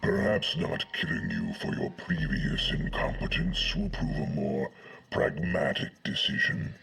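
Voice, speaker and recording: Brief: cold alien overlord, extremely low and gravelly, slow formal menace, sci-fi audio drama. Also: male voice, huge pitch swings, a bit bright, soft background music, full character voice. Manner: slow, formal, coldly deliberate. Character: menacing, cold alien male villain, a high official. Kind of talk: anime-inspired audio drama acting.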